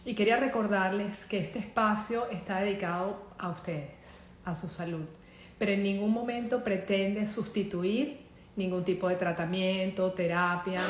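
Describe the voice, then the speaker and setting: A woman with a Venezuelan accent, a psychologist hosting a live online show in an upbeat, welcoming way. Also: mid-range voice. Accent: Venezuelan Spanish. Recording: roomy echo, faint background noise.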